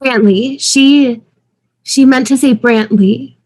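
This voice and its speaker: A woman's voice: a little high, slow pace, darkish tone, rough recording. A woman playing a polite, earnest, composed young woman in an amateur table read.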